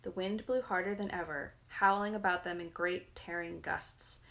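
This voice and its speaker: Lower-pitched woman reading a fairy tale. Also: normal speed, creaky, fairly bright tone. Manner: dramatic storytelling. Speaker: reader, narrator. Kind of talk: scripted fairy-tale narration.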